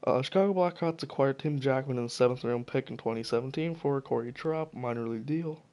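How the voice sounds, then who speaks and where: A man giving casual, profane solo commentary while slowly losing his voice, stumbling over names as he goes. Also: mid-range voice, a little mellow. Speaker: hockey video commentator.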